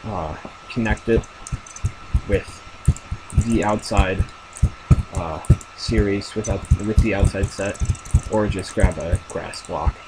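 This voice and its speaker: A young man casually commenting on his own game as he plays, thinking aloud with lots of fillers and a deep voice. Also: takes it slowly, long pauses, heavy background noise. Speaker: gamer narrating his play.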